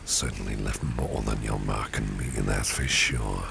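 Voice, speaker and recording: A man playing a protective, flirtatious boss with courtly manners in an ASMR roleplay, speaking in a gravelly, breathy half-whisper. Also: a bass voice, full character voice. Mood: flirtatious, admiring. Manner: slow, suave, courtly phrasing. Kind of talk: ASMR roleplay spoken to the listener. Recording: steady rain and street ambience noise. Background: rain falling, passing vehicles.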